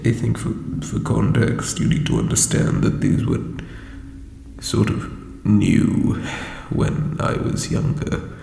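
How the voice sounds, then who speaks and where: A man playing an ancient, insecure undead lich king in a comedic audio roleplay, with a breathy croak and hesitant, pompous phrasing. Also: on the low side, very animated, slow pace, warm tone, exaggerated voice. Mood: sheepish, explaining. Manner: hesitant, self-doubting, theatrical formal phrasing. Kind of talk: scripted comedic audio roleplay addressed to listener. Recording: reverberant echoey hall, faint background noise.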